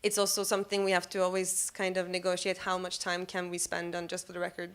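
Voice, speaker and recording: Panelist, woman, lowish flat delivery, thinks aloud, self-deprecating. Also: clear, resonant tone. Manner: thoughtful, halting, self-deprecating. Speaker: panelist, member of a collective. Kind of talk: live panel discussion. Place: cafe of an arts venue.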